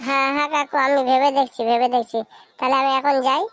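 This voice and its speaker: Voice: high voice, flat delivery, fast talker, a bit bright. A performer voicing a wheedling young male freeloader in a cartoon comedy, hesitant and hemming. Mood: flustered, evasive.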